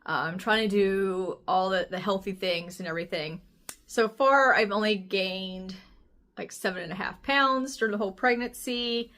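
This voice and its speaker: A woman in her forties with a slightly raspy voice, chatting casually and candidly as a vlogger giving a personal update. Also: mid-range voice, normal speed, a bit bright. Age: 40s. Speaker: health vlogger.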